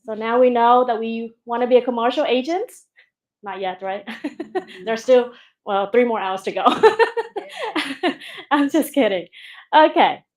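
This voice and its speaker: A woman with a high, light voice leads a real estate seminar in a casual, joking way. She checks in with the room often and teases the audience before going on. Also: measured pace, pauses often, a little mellow. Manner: chatty, jokey, asks the room questions.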